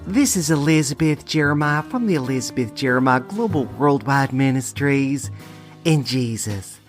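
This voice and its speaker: A man's voice: medium pitch, lively intonation, normal speed, faint music behind, voice pushed up, natural voice. Man putting on a prim evangelical church lady. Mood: prim, uneasy. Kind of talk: comic character monologue.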